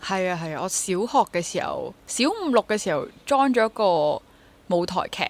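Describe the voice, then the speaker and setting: Female interview guest, twenties, lowish voice, Hong Kong Cantonese accent, chatty and candid. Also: sing-song, normal speed. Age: 20s. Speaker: guest, stage actor. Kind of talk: casual interview chat.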